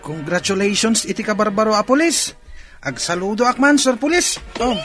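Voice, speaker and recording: A man's voice: high-pitched, lively intonation, normal speed, a bit bright, faint music behind. A man playing a dutiful, aspiring young brother with an Ilocano accent in a radio comedy drama. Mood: earnest.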